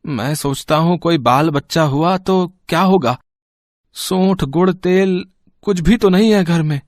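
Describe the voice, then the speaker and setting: A man's voice: fairly high pitch, a little slow, a little mellow, dry recording, clean studio sound, natural voice. A man playing a sullen, selfish young village husband in an audio story, grumbling and sulky. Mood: worried. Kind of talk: acted Hindi audio story dialogue.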